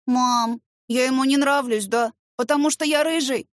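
Woman voicing an earnest, high-pitched little boy. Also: fairly even intonation, normal speed, no echo, studio quality, close to an everyday voice. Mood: sad. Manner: earnest, childlike complaining. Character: energetic young red-haired son, loud, sulky, candid.

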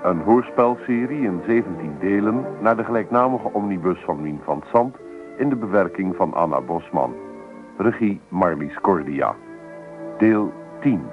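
A man's voice: deep voice, expressive, measured pace. A man announcing a radio drama serial in a scripted delivery. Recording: tinny radio sound under loud music.

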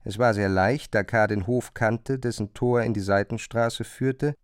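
A man's voice: fairly low pitch, steady tone, a little slow, smooth, no room sound, studio quality. A man reading scripted literary narration for a radio drama. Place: dry studio.

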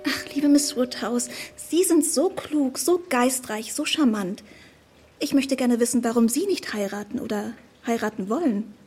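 A young woman acting a naive, adoring gentlewoman in a period radio play, with a breathless, gossipy, effusive manner. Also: fairly high pitch, expressive, a little slow, faint music behind. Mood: adoring awe.

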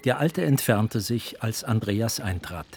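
Slow-reading male narrator over music. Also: fairly low pitch, expressive, creaky, a little mellow, husky. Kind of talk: literary narration in a radio drama.

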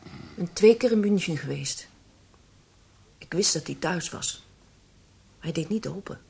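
Expressive, mellow-voiced protective mother. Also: lowish voice, a little slow, vocal fry, slight background noise. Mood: anxious, puzzled. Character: protective, disbelieving middle-aged mother. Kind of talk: Dutch radio play acting.

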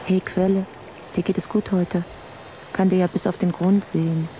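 An actress playing a lonely fisherman's wife musing to herself in a radio play, wistful and unhurried, with water sounds behind her. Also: on the low side, level tone, dark tone, a little hiss or hum, lightly acted, low-quality recording. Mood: wistful chatting. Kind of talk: German radio play monologue. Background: trickling water.

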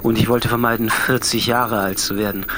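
An actor playing a solitary, coolly analytical man near forty, speaking a measured, introspective literary monologue that often sinks to a murmur. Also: medium pitch, little pitch movement, fairly bright tone, a little hiss or hum, lightly acted. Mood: brooding, measured. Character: brooding, solitary thirty-nine-year-old man, coolly analytical. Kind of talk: literary radio drama monologue. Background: music.

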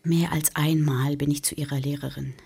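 An actress playing a stern, embittered middle-aged single mother in a radio drama, husky and gravelly, recounting things reproachfully. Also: deep voice, steady tone, normal speed, dark tone, exaggerated voice. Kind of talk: German radio drama monologue.

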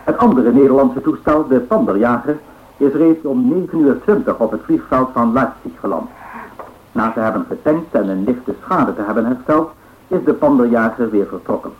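A male news announcer from the 1930s, played by an actor, reads a formal scripted bulletin at a middling pitch. The sound is narrow and tinny, like an old radio set. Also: expressive, normal speed, close to an everyday voice. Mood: formal, factual.

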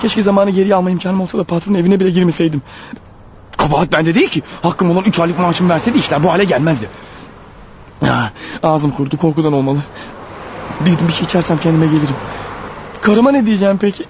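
An actor playing a panicky, guilt-ridden clerk turned killer in a radio play, stammering and talking nervously to himself. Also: male voice, on the high side, fast talker, darkish tone, muffled recording, a little hiss or hum, natural voice. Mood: nervous, muttering. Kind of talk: radio theatre acting.

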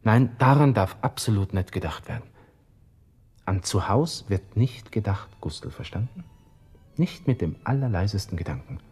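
An actor playing a proud young army lieutenant in despair, with a Viennese accent, talking agitatedly to himself in a radio drama monologue. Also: male voice, on the low side, expressive, moderate pace, a little mellow, slightly rough, soft background music. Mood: anguished, self-pitying. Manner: agitated, self-talking, shifting between muttering and outbursts.

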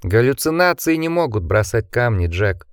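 A man's voice: mid-range voice, big pitch swings, moderate pace, clean tone, no room sound, clean studio sound, lightly acted. A man voicing a feverish, frightened young man who pleads and whimpers. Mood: feverish protest.

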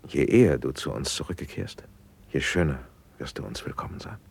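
Very deep, stately prince. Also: male voice, animated, gravelly, darkish tone, breathy edge, heavily acted. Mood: gently urging. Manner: measured, stately declamation. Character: benevolent, dignified prince, paternal patron of a poet. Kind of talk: German classical verse radio drama.

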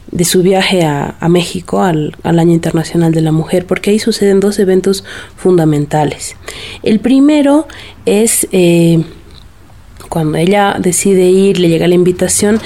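A woman's voice: fairly low pitch, warm tone. A woman giving a spontaneous, explanatory interview answer as a researcher, feeling for her words. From Bolivia.

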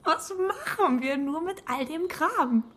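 Playful scheming woman, higher voice, teasing, whispers a lot. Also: animated, measured pace, fairly bright tone, slight background noise. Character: flighty, scheming woman, newly engaged. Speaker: character Judith. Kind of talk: radio drama acting.

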